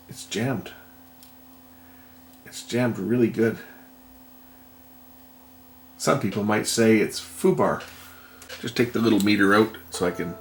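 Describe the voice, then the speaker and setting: Male workshop vlogger, fry-heavy and fairly deep, musing tentatively through a hands-on repair. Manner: thinking aloud, tentative and unhurried. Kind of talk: casual hands-on repair commentary. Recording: noticeable background noise.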